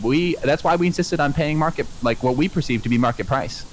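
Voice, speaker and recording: A man's voice: fairly high pitch, fairly quick, a little hiss or hum, close to an everyday voice, rough recording. An actor in an improvised comedy radio play playing an earnest, progressive family father who reassures and lectures, often checking for agreement. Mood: supportive. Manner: reassuring but lecturing, seeks agreement with "right?".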